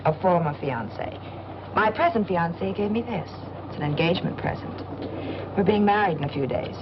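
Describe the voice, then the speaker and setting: Actress as a bored, headstrong young woman, low-pitched, noisy film. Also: lively intonation, normal speed, close to an everyday voice. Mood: bored, chatty. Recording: dull, noisy old film soundtrack, train rumble.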